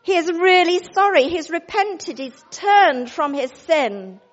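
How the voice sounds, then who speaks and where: A woman's voice: very high voice, expressive, slow pace, muffled recording, pitched above the performer's own voice, exaggerated voice. A woman voicing God in a storybook, speaking solemnly and sternly. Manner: solemn, pronouncing.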